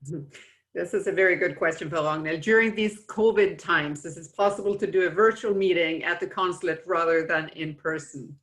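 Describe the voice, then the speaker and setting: A woman with a lowish voice moderates a webinar, reading audience questions aloud in a steady way. Her voice comes through a video call. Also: a little fast, low-quality recording. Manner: steady, reads questions, explains answers.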